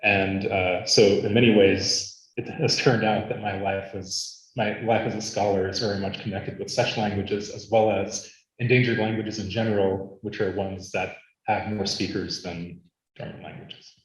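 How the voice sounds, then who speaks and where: A man's voice: lowish voice, steady tone. Man lecturing in an echoey hall. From Arizona, United States. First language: Latvian. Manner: measured, with frequent fillers. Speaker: academic speaker. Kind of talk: conference lecture.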